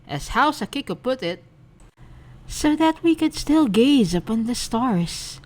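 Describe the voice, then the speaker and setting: A woman narrating a fanfiction aloud in a gentle, expressive storybook reading. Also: medium pitch. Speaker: fanfic reader.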